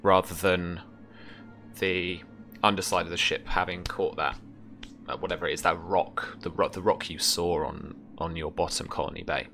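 Deep-voiced man narrating a tabletop game. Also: fairly even intonation, sharp tone. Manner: halting, casual, descriptive. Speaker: game master. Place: indoor room, remote session. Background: music.

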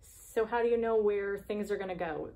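Woman in her thirties, medium pitch, children's devotion host, casual questions, reading aloud. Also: moderate pace, mellow. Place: small classroom, indoors.

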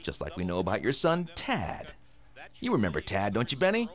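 An actor playing a menacing robber in a radio drama, taunting and sarcastic with a false friendliness. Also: male voice, on the low side, huge pitch swings, a little fast. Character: menacing, mockingly friendly male robber posing as FBI agent.

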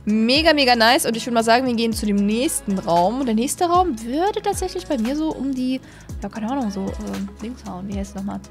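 A young woman with a high voice gives casual, chatty commentary on a game. She sounds enthusiastic and speaks directly to her viewers, close to the microphone, with music playing behind her. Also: animated, fairly quick. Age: young adult. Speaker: host of gaming videos. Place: small indoor streaming room at home. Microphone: close desk microphone on arm.

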